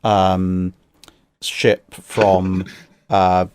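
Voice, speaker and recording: Low-pitched man in casual, analytical discussion. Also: little pitch movement, darkish tone, smooth, no echo. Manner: measured, analytical, opinionated. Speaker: guest commentator.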